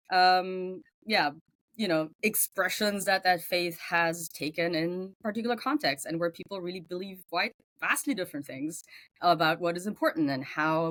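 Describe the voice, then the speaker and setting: A guest in an interview who grew up in Germany and speaks English with a German accent, thoughtful and searching for words, with frequent pauses and fillers. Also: female voice, on the low side, no echo. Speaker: guest theologian. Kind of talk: interview conversation.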